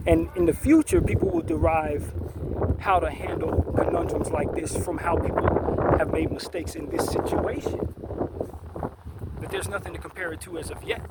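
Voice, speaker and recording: Male commentator with a slightly high voice, deliberate and emphatic, on a noisy recording with vehicles passing. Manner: measured and deliberate, with frequent restarts and emphatic stresses. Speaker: online commentator. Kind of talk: unscripted opinion monologue.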